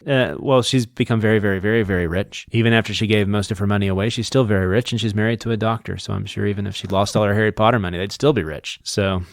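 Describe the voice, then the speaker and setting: A man's voice: on the low side, fairly even intonation, quick pace, vocal fry, few pauses, dry recording, studio quality. A man co-hosting a podcast, chatting casually with wry asides and frequent laughs. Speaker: podcast co-host. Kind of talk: casual banter.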